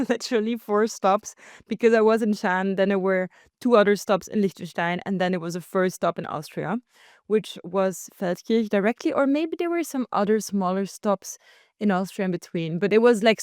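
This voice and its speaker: A young woman from Belgium hosts a solo podcast and tells her travel story in a chatty, lively way, with quick laughs. Her voice is smooth and resonant. It sounds close and dry, with no room around it. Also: medium pitch, dry recording. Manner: chatty and animated, laughs often, slips into quoted voices. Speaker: podcast host.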